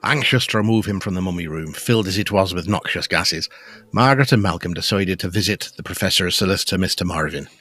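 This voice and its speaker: A man's voice: low-pitched, fast talker, a bit bright. A man reading scripted narration for a gothic audio drama.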